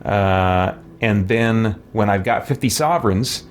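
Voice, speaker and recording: A man's voice: lowish voice, takes it slowly, slight background noise. An American man talks as a gaming streamer in a casual, unscripted way. He rambles and thinks aloud, often stopping to correct his own phrasing. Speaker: live streamer, gaming host. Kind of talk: casual livestream chat.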